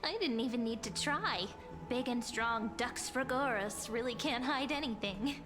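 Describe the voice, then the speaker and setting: An actress playing a gracious, composed young female traveler with a soft, measured, quietly playful delivery in anime game style. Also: fairly high pitch, close to an everyday voice. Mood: teasing. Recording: game music clearly behind.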